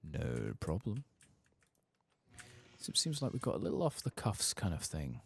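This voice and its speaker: A man doing a soft-spoken ASMR game playthrough, murmuring musing asides and sometimes dropping toward a whisper. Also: low voice, wildly swooping pitch, normal speed, gravelly, breathy edge. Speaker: gaming ASMR host.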